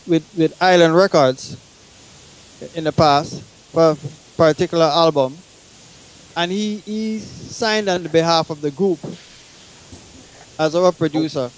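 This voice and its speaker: Guy guest on a talk show, kinda high voice, stumbles and repeats words explaining. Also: a little slow, long pauses, rough recording. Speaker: guest musician and songwriter. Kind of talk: recounting own experience in discussion.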